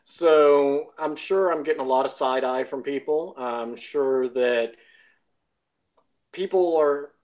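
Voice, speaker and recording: A man's voice: medium pitch, slow, a little mellow, rough recording. A man speaking as a guest in a casual discussion, hesitantly thinking aloud in long sentences. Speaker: audio drama cast member, podcast guest.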